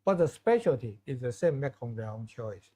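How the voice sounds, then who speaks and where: A middle-aged man with a Chinese accent giving informal closing remarks to a class of students, often pausing and restarting his phrases with many fillers. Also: medium pitch, expressive, warm tone. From China. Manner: halting, restarts phrases, many fillers, asks audience for questions. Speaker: visiting lecturer.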